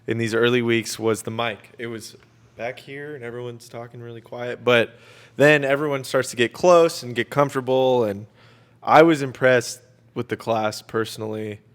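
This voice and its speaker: A man's voice: mid-range voice, steady tone, normal speed. A man in his twenties hosting a student radio show, chatting casually in a joking, teasing way. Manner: jokey, teasing. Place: community radio studio.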